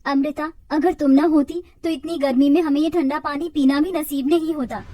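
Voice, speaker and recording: A woman voicing a poor, weary young village daughter-in-law in a melodramatic, plaintive way for an animated moral story. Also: sits high, moderate pace, dry recording, clean studio sound, natural voice. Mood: relieved.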